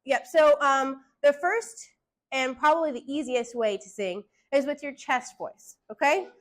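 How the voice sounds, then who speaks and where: A woman voice teacher giving classroom singing instruction, hushing her students and checking in with "okay?" after nearly every point. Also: high-pitched, measured pace.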